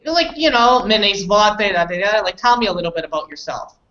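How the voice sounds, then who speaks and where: Bright-voiced Canadian woman teaching, roomy sound. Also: mid-range voice, very animated, a little fast, slight background noise. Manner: repeats and rephrases simply for learners. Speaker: English conversation teacher. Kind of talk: informal online lesson talk. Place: indoors on an online group call. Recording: dull roomy online call audio.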